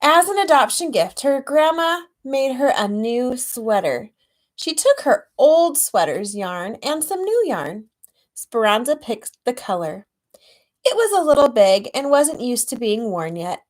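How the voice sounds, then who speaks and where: Lady with a highish voice reading a kids book out loud, gentle n expressive, chats to the kids a bit. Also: measured pace. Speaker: children's author reading own book aloud. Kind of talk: storybook read-aloud with chatty asides to children.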